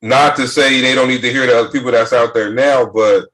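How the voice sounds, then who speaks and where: A man's voice: medium pitch, brisk. A man co-hosting a podcast, chatting loosely and acting out other people's lines as he tells stories. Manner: animated storyteller, mimics others' voices. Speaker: podcast co-host. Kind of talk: casual banter, acting out quotes.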